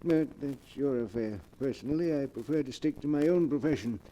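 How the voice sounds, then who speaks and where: Man playing a mellow, proud charlatan professor. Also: mid-range voice, brisk, highs cut off, close to an everyday voice. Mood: knowing, detached. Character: proud, shady professional ghost-faking professor, superstitious streak. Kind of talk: 1940s radio mystery acting.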